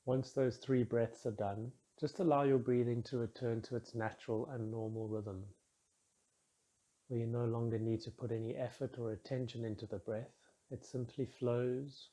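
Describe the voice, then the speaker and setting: Mellow, husky man guiding a meditation. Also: medium pitch. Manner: calm, soothing, measured instruction with soft phrase endings. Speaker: meditation guide. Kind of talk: guided meditation.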